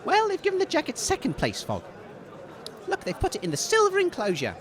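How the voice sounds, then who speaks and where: A man playing a chatty, excitable radio co-host giving live commentary in a comedy audio drama. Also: extremely high pitch, fairly quick, heavily acted. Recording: outdoor event with crowd behind.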